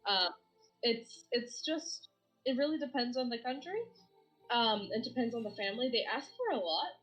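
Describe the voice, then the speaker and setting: Bright, giggly young-sounding woman. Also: fairly high pitch, normal speed, faint music behind, low-quality recording. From Bangladesh. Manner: giggly, animated, laughs often. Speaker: streamer guest. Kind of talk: casual interview chat.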